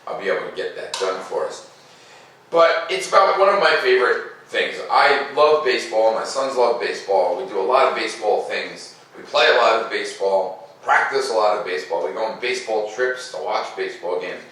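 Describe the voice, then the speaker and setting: A middle-aged American man, a teacher speaking to young children with animated, exaggerated emphasis. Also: on the low side, a little fast. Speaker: teacher reading stories aloud to young pupils. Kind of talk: casual chat to children, then storybook read-aloud. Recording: echoey room, a bit far from mic.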